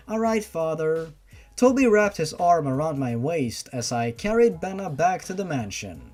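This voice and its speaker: Expressive storyteller with lively, swooping pitch. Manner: sarcastic, sweary asides. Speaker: narrator reading fan fiction aloud. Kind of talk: storybook narration with mocking asides.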